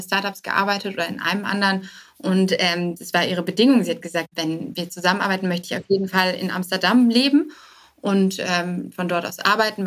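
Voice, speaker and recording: A woman's voice: on the low side, a bit bright. A woman speaking as a startup founder and podcast guest, answering casually and anecdotally with English business terms mixed in.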